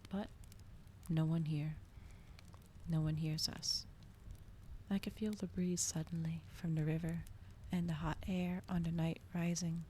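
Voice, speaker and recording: A woman doing a soft, whispered ASMR reading as a streamer, slow and breathy, in a hushed manner. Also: low voice, slight background noise. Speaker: streamer host reading aloud.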